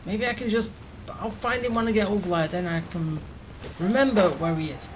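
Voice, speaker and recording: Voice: medium pitch, brisk, noisy background. A gamer giving casual, rambling gameplay commentary, thinking aloud. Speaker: gaming streamer.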